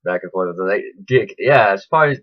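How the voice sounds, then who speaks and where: A man telling a casual, enthusiastic vlog recap in a chatty, animated way. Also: fairly low pitch, a little fast, dull audio. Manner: animated, chatty, acts out quotes in raised voices. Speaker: vlogger.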